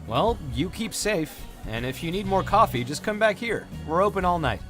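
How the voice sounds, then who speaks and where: A man's voice: high voice, sing-song, moderate pace, soft background music. A man playing a friendly, concerned late-night diner server in a visual novel, casual and helpful. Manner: casual, helpful, gently cautioning.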